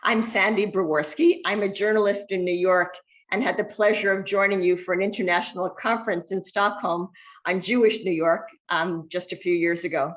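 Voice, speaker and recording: A woman hosting an author interview over a video call, reading a measured, prepared introduction. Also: lowish voice, steady tone, highs cut off. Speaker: interviewer and host.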